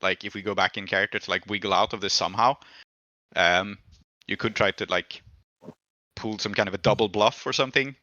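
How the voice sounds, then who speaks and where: A man with a lowish, bright, forward voice talks as a game master. He reasons out loud in a casual, explanatory way, offering options to his players. The recording is dry and slightly dull. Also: steady tone, fairly quick, no echo. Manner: thinks aloud, explanatory, hedging proposals. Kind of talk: casual tabletop roleplay discussion.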